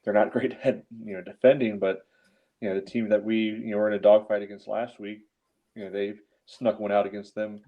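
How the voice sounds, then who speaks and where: A man with a lowish voice is heard in slightly dull, lo-fi audio. He co-hosts a sports podcast, arguing his points casually in choppy, filler-peppered phrases. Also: little pitch movement, fairly quick. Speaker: podcast co-host. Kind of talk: casual soccer debate.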